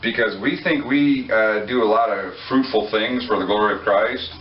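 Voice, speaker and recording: A man's voice: fairly low pitch, normal speed. A man preaching a sermon in a conversational way, joking and putting questions to his listeners. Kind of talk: sermon to a congregation. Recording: echoey room, far from mic, dull lo-fi.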